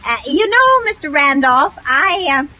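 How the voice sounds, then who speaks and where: An actress playing a fussy, starstruck housekeeper, fluttery and earnest, in 1940s radio comedy style. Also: female voice, high-pitched, big pitch swings, a little slow. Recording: dull, hissy old radio recording.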